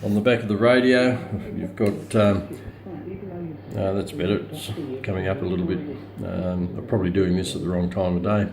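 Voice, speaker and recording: A man giving a casual, unscripted product demonstration as a reviewer, hesitant and halting as he thinks aloud. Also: sits low, fairly even intonation, a crowd in the background. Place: outdoors or by a window in bright daylight, filming a radio.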